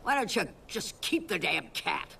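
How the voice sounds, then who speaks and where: A woman's voice: fairly low pitch, big pitch swings, a little slow, vocal fry, sharp tone, far from the mic, slight background noise, heavily acted. An actress playing a cranky, blunt old rural woman in a video game, talking in a crotchety, sardonic way. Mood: grudging, dry. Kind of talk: video game mission dialogue.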